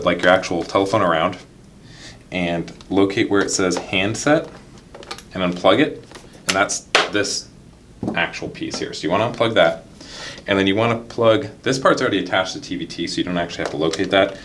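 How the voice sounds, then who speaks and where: A man's voice: sits low, fairly bright tone, slight background noise. A man giving a casual instructional product tutorial, explaining things step by step. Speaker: product demonstrator.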